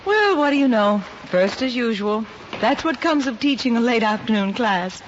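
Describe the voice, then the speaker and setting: A woman as a lively, wry schoolteacher, old radio. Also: on the high side, moderate pace, close to an everyday voice. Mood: frank, reassuring. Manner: dry humor, reassuring. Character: wry, kindly, encouraging female high school teacher. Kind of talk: 1950s radio drama acting. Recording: muffled, faintly noisy old radio recording.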